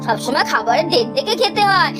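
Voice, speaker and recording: A woman's voice: high voice, very animated, fairly quick. A woman voicing a young boy cousin in a doll cartoon, cautious and a bit lecturing. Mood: bossy. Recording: with loud background music.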